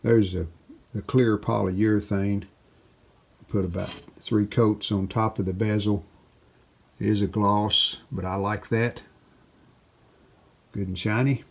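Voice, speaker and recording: A man narrating a hands-on restoration how-to in a casual, plainspoken way, often pausing mid-sentence. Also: fairly low pitch, fairly even intonation, creaky. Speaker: host of a restoration how-to video.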